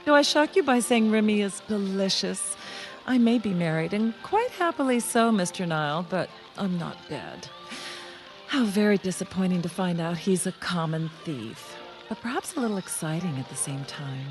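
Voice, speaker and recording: A woman's voice: mid-range voice, lively intonation, moderate pace, faint music behind, lightly acted. An actress playing a flirtatious, wealthy married society woman in a radio mystery, arch, coy and teasing. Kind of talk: radio mystery drama acting.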